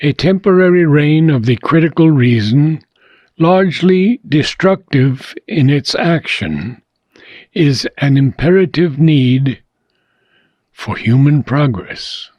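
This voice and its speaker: A man reading a philosophical book aloud, in deliberate, measured phrases with frequent pauses. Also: on the high side, animated, a little mellow, dry recording, muffled recording. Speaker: audiobook reader.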